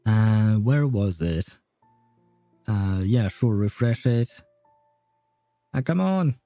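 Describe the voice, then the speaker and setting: A man with a mellow, relaxed voice gives casual game commentary. He chats wryly with viewers on a dry, close mic, with faint music behind him. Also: fairly low pitch, slow, no room sound. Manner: informal, swearing, wry. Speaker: game livestreamer. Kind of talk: casual live gaming commentary, chatting with viewers.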